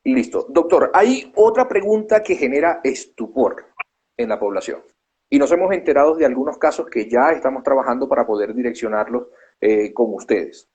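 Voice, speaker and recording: A man's voice: medium pitch, animated, normal speed, darkish tone, slightly rough, lo-fi sound. A man hosting an interview, asking long, earnest questions and summing up the guest's answers. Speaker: interview host.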